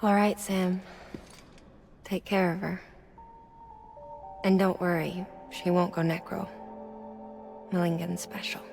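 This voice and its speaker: A casual, wry engineer in a video game. Her voice is creaky and barely varies in pitch, understated and laid-back. Clear music plays behind it. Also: level tone, slow, pauses often. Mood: wry, subdued.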